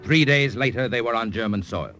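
A man's voice: medium pitch, lively intonation, fairly quick. Solemn man announcing a radio drama. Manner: solemn, formal. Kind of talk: scripted narration. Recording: old radio broadcast with music.

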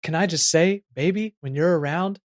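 A man's voice: on the high side, moderate pace, no room sound, studio quality. A man narrating a scripted comedic audio drama in a theatrical manner. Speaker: narrator and performer.

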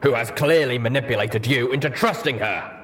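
A man's voice: mid-range voice, brisk, fairly bright tone, slight background noise. A man voicing a stern, suspicious old soldier pony in armor, indignant and commanding, with a reverberant helmet voice, for a fantasy audio story. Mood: accusing.

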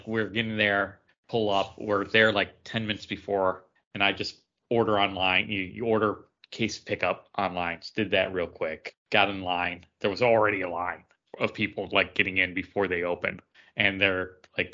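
A man's voice: on the low side, pushed and bright, muffled recording. A man chatting casually as a podcast co-host, telling stories in a rambling, meandering way. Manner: rambling storyteller. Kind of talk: casual chat.

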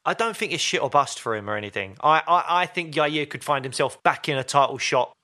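A man's voice: a little high, expressive, fairly quick, sharp tone. A man co-hosting a podcast, chatting casually and playfully about upcoming fights. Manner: chatty, playful, jokes and laughs often. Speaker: podcast co-host.

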